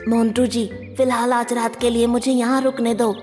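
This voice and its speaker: A woman's voice: on the high side, flat delivery, moderate pace, a little mellow, faint music behind, close to an everyday voice. A woman voicing a sly, menacing male skeleton ghost in a Hindi cartoon story. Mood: falsely polite. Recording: long hall reverb.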